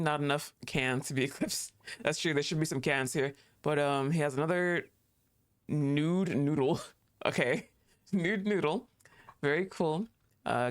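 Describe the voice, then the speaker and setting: A streamer chatting casually and thinking aloud while playing a game, laughing often and talking back at the screen. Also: medium pitch. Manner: thinks aloud with fillers and restarts.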